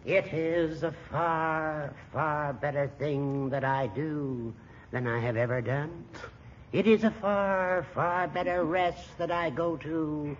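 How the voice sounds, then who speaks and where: High-pitched, needling old judge. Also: male voice, takes it slowly. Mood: hammy declaiming. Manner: theatrical, dry, needling. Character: dry, needling old judge, theatrical ham. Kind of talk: 1940s radio sitcom acting. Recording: muffled, hissy old radio recording.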